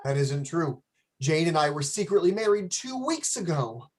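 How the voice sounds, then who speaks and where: A woman's voice: deep voice, sing-song, normal speed, darkish tone, husky, exaggerated voice. A woman playing an anxious, hesitant wealthy young bridegroom in a radio play, speaking earnestly. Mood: uneasy.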